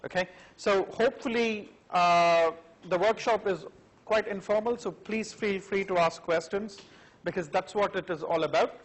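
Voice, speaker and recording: A man's voice: high voice, moderate pace, low-quality recording. A man giving informal welcome remarks as organiser of a workshop. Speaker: workshop organiser opening the event.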